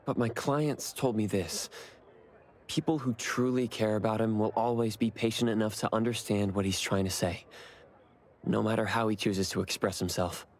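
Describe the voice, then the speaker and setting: A man's voice: lowish voice, steady tone, moderate pace, darkish tone, natural voice. A man playing an earnest, reserved young man in an anime game, speaking sincerely and with understatement. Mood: reflective. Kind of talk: anime game voice acting, conversational.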